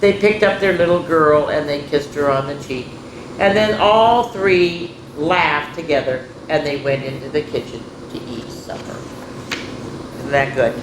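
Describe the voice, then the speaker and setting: Echoey, expressive older woman telling stories. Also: sits low, a little slow. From rural United States, farm. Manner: expressive, folksy, addressing children directly. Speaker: children's storyteller and host. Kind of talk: read-aloud storytime with chatty asides. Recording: echoey room with background noise.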